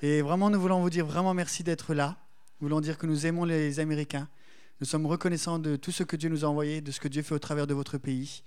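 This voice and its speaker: A man's voice: fairly high pitch, little pitch movement, quick pace, a little mellow, clear, resonant tone. A man giving an earnest church testimony, repeating phrases for emphasis. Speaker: church speaker.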